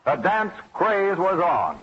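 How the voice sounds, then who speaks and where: Animated, high-pitched vintage radio narrator man. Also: takes it slowly, heavy background noise. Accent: American. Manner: dramatic, declamatory delivery. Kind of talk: scripted old-time radio narration. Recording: dull vintage radio recording with music behind. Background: music.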